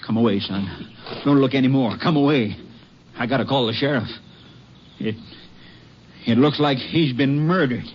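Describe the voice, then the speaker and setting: An actor playing a gruff, scolding middle-aged father and storekeeper in a 1950s radio drama. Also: medium pitch, normal speed, long pauses, muffled recording, lightly acted. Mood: shaken. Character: stern small-town father and storekeeper. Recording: hissy old radio broadcast.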